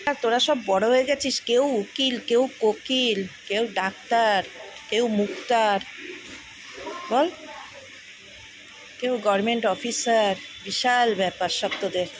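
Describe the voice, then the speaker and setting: Female daily vlogger, slightly high pitch, informal on-the-go chatter, noisy handheld sound. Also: measured pace, long pauses. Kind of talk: casual vlog chat. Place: out and about in streets and shops. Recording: noisy handheld vlog audio.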